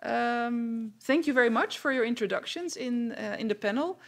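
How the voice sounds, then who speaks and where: Female panel moderator, Dutch-accented, noticeable vocal fry, hesitant stop-start phrasing at a public event. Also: a little high, lively intonation, normal speed. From Netherlands.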